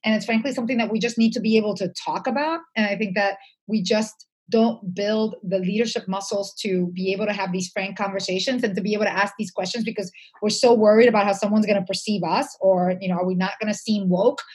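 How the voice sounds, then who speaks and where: A woman's voice: mid-range voice, steady tone, fast talker, darkish tone, husky, poor audio. A woman speaking as an interview guest, conversational, reflective and earnest, with emphasis on her points.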